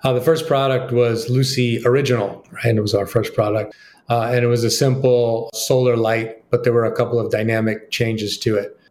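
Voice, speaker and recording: A man's voice: fairly even intonation, normal speed, a little mellow, slight background noise. Businesslike man in an echoey room. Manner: businesslike, pitch-like with fillers. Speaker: company co-founder guest. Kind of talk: interview answers.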